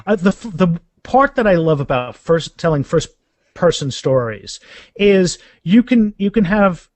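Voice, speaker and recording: A man speaking as an author guest in a casual interview, halting and restarting phrases, with self-deprecating humor. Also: high-pitched, very animated, no room sound.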